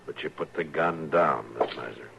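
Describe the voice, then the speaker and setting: An American man playing a steady, weary frontier marshal in a radio western, measured, polite and restrained. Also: extremely low pitch, gravelly. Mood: calm, firm. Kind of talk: 1950s radio western acting. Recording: old noisy radio recording.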